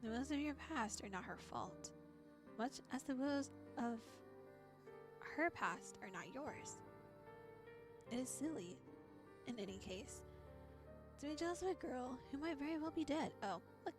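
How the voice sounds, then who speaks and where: A woman's voice: high voice, lively intonation, a little slow, pauses often, music in the background. A playful, giggly woman VTuber reading a visual novel aloud and adding casual commentary. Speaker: VTuber streamer.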